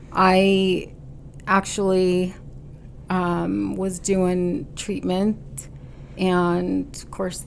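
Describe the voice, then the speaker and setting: Low, gravelly-voiced woman telling her story. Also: slight background noise. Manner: halting, earnest storytelling with many fillers; stubborn, determined. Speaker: podcast guest, boutique owner. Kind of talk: casual conversational interview.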